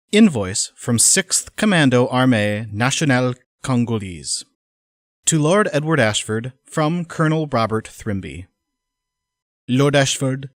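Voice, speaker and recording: A businesslike mercenary colonel acted by a man reads out a formal letter for an audio drama. His delivery is measured yet animated in intonation, in a middling register and a dry recording. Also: medium pitch, no room sound.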